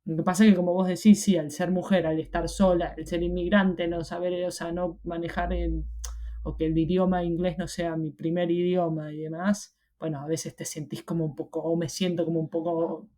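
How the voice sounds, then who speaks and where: A woman's voice: lowish voice, flat delivery, normal speed, warm tone. A young Argentine woman with an Argentine Spanish accent, talking reflectively as an interview guest, often hesitating and restarting. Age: young adult. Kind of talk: casual interview conversation.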